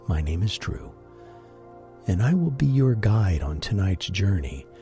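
A man guiding a sleep meditation in a gentle, deliberate, breathy voice with long pauses. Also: on the low side. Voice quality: husky, breathy. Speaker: meditation guide and host. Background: music.

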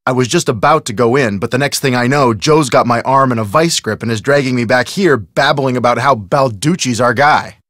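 A man's voice: mid-range voice, a little fast, few pauses, fairly bright tone, no echo, studio quality, close to an everyday voice. An actor playing a level-headed teenage boy detective in a video game, reasonable and skeptical, with an American accent. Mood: matter-of-fact, chiding. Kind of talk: video game character acting.

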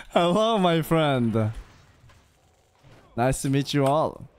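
A young man runs a gaming stream from his home setup, teasing his group in a playful, jumpy way. His pitch swings widely while he takes his time with his words. He sits close to a studio mic on a boom arm. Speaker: streamer leading a game group. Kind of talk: casual gaming voice chat. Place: indoor home streaming room.

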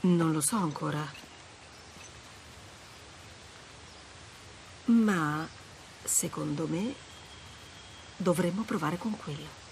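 A wry, adventurous treasure hunter in her thirties for a video game cutscene. Her voice sits on the low side and her delivery is understated and teasing. Faint natural ambience runs behind her. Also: takes it slowly, darkish tone, a little hiss or hum. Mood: thoughtful.

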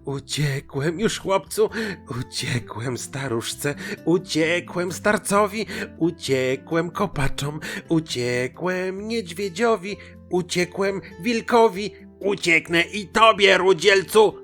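A man plays a small, cheeky pancake from a children's fairy tale. The voice is pushed high and loud, bragging in an insolent sing-song chant. Music plays underneath. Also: takes it slowly, gravelly, heavily acted. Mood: boastful, mocking.

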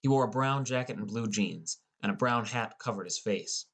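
Low-voiced man narrating an audiobook. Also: normal speed, fairly bright tone, breathy edge. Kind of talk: scripted first-person story narration.

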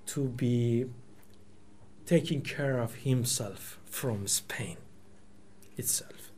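A man's voice: medium pitch, very slow, warm tone, whispered. A man in his forties whose first language is Persian, giving a reflective talk in a small meeting room. He searches for words as he goes, restarting phrases often. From Iran. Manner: thoughtful, searching for words, many restarts and fillers. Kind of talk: reflective lecture. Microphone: table microphone.

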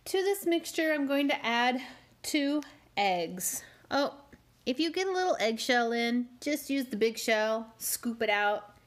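A woman hosting a home cooking channel, walking through a cake recipe step by step with gently wry asides. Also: on the high side, animated, measured pace. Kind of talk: calm step-by-step baking instruction. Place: home kitchen.

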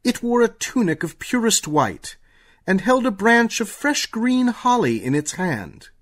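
A man narrating a scripted radio play as a storyteller, with measured dramatic delivery. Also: high-pitched, big pitch swings.

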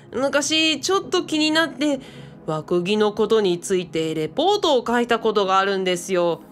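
A woman's voice: fairly high pitch, big pitch swings, measured pace. A woman playing a modest, bookish young man in a radio drama, speaking hesitantly and matter-of-factly. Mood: modest explaining.

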